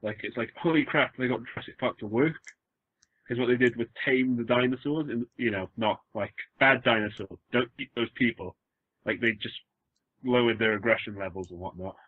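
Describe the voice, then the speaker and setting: A man's voice: medium pitch, moderate pace, dry recording. A man with a Welsh accent co-hosting a casual podcast, rambling and joking through a story with frequent restarts. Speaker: podcast co-host. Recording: phone-like lo-fi dry sound.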